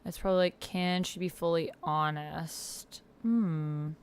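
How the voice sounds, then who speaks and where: A woman's voice: fairly low pitch, steady tone, a little slow, clear, resonant tone. A woman hosting a casual livestream, talking to herself and reacting aloud as she reads along in a text roleplay game. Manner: talks to self, reacts aloud, murmurs while reading and typing. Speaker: livestream host. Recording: background noise with keyboard typing. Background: computer keyboard typing.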